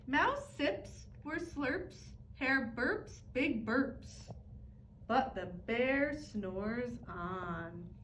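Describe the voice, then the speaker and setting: A woman preschool teacher reading aloud expressively and deliberately to young children. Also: fairly high pitch, lively intonation, fairly bright tone. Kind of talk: animated children's read-aloud. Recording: roomy echo, distant mic, faint noise.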